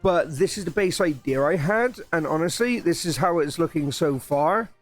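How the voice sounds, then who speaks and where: A man chatting casually on a live stream, his voice a little croaky, rambling with restarts and sounding excited about his plans. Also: a little high, normal speed, faint music behind. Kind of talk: casual live-stream chat while building.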